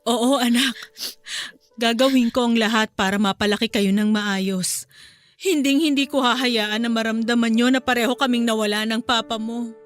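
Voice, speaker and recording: A woman's voice: on the high side, fairly even intonation, normal speed, no echo, faint music behind, lightly acted. A woman playing a weary, grieving widowed mother in an emotional radio drama. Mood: tender, tearful.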